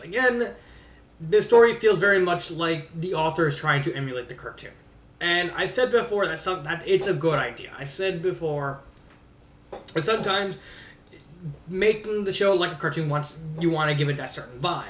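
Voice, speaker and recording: A man with a high-pitched voice delivers a casual review. He rambles and leans on his points with exasperated emphasis. The recording is echoey, and he sounds some distance from the microphone. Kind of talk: casual spoken fan fiction review. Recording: roomy echo, distant from mic.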